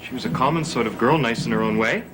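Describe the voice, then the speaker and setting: A man's voice: medium pitch, fast, over music, natural voice, rough recording. An actor playing an anxious, evasive, defensive man in a 1960s television gothic soap. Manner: edgy, guarded.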